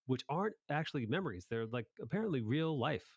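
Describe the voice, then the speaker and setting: A man's voice: mid-range voice, lively intonation, brisk, husky, no room sound, studio quality. A man hosting a casual chat about anime, talking informally in rambling recaps with some swearing. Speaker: podcast host.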